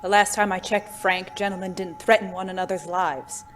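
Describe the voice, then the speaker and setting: An actor playing a tense, protective gentleman on the run in an audio drama, speaking in an urgent, articulate way over music. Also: mid-range voice, little pitch movement, normal speed, natural voice. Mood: urgent, indignant. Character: tense, protective gentleman fugitive holding a gun.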